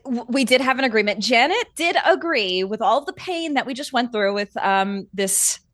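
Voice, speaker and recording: A woman's voice: on the high side, animated, normal speed, a bit bright, no room sound, studio quality. A woman playing a tabletop roleplaying game, talking casually through her choices.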